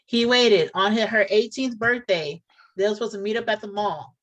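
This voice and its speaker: A woman's voice: mid-range voice, normal speed, a bit bright, highs cut off. A woman chatting casually on a reaction show, earnest and rambling, often restarting her sentences. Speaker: co-host of a reaction channel.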